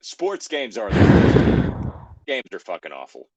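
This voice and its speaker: A man with a deep voice talks casually in a close, dry recording. His pitch moves around a lot as he reads and chats, and he is sweary and self-deprecating. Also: huge pitch swings, slow, darkish tone, no room sound, muffled recording. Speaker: co-host reading an online story aloud. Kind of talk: casual group chat and story reading.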